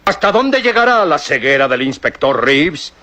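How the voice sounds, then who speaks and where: A man's voice: fairly high pitch, sing-song, moderate pace. A man narrating an old radio serial in a dramatic, suspenseful style. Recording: narration over loud music.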